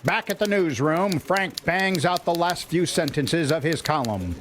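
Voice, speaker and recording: A man acting a dramatic announcer from an old-time radio play. His voice sits a little high over typewriter clacks. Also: expressive, measured pace, a bit bright, slight background noise.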